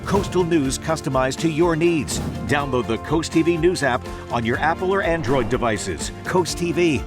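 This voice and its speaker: A man's voice: fairly high pitch, animated, normal speed. A man announcing a local TV news promo in polished, scripted delivery. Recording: music bed under voice.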